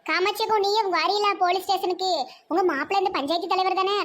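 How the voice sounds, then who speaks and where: A woman's voice: extremely high pitch, steady tone, fast, fairly bright tone. A woman with a Tirunelveli Tamil accent voicing a fussing, teasing village neighbour in a comedy cartoon. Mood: worried.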